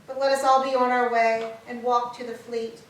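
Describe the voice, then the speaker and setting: Woman as high-voiced mournful captive, echoey hall. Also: little pitch movement, moderate pace, close to an everyday voice. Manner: declamatory, solemn. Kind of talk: staged reading of Greek tragedy. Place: church, live staged reading. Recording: echoey hall, distant mic, background noise.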